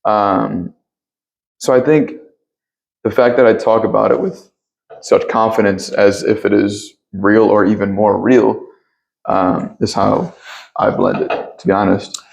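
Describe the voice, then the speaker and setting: A man with a deep voice speaking earnestly as an interview guest about spiritual matters, often pausing to search for words. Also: steady tone, creaky. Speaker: interview guest, yoga teacher and Reiki practitioner. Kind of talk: reflective spiritual conversation.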